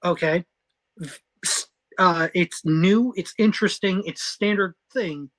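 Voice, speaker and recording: Emphatic, lower-pitched woman podcaster. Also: a little slow. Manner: emphatic, opinionated, interjecting. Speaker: podcast co-host. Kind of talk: animated review chat.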